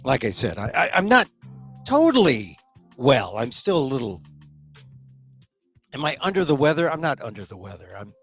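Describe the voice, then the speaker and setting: A man's voice: medium pitch, very animated, normal speed, pauses often, a bit bright, slightly rough, soft background music. A middle-aged man hosting a solo political commentary show, opinionated and a little sniffly, often repeating words as he starts a thought. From United States. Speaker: talk show host.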